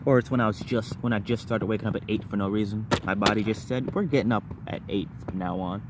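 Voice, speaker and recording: Rambling man over clear background noise. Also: lowish voice, fast. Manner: rambling, repeats words and phrases in bursts. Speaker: vlogger. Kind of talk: casual personal storytelling to viewers.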